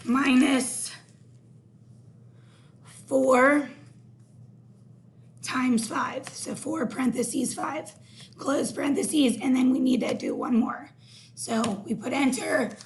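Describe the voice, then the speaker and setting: A hoarse woman teaching a recorded math lesson, explaining each step slowly. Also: high voice, fairly even intonation, a little hiss or hum.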